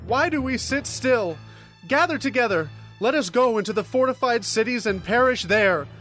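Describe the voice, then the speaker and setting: Casting: despairing, doomed man, high-pitched, urgent lament, scripture drama over light music. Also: expressive, moderate pace, fairly bright tone.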